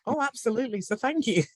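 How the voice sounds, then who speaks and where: A woman with a very deep voice on a video call, chatting with warm laughing asides. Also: expressive, brisk, breathy edge, dry recording.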